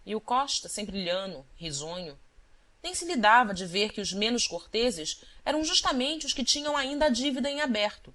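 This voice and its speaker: A woman reading scripted literary narration as an audiobook narrator, with a measured, deliberate storytelling delivery. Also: on the high side, animated, pushed and bright.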